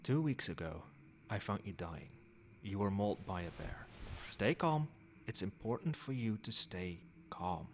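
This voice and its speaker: A man playing a centuries-old vampire sire in an ASMR roleplay, a soothing, possessive caretaker who speaks softly in measured, formal phrases. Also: sits low, lively intonation, a little hiss or hum. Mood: urgent, reassuring.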